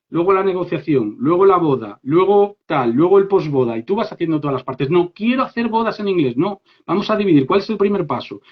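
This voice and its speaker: A man from Spain with a Spanish accent, giving a lively online workshop on English for business, talking directly to his listeners and checking in with them often. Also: fairly high pitch. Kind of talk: informal live workshop talk. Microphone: phone or laptop mic on a live stream. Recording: dull lo-fi live stream audio.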